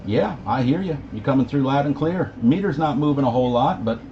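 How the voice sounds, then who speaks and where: A man's voice: medium pitch, lively intonation, a little fast. Muffled man chatting over noisy CB radio. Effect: CB radio sound. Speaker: CB operator running a live stream. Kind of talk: casual CB radio chat.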